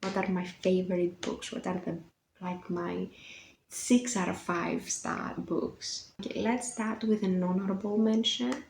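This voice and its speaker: Greek girl in her 20s vlogging about books, greek accent, mellow gravelly voice, echoey room. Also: mid-range voice, little pitch movement, unhurried, a little hiss or hum. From Greece. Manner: chatty, self-conscious, laughs often. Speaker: book vlogger. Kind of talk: casual chat to camera.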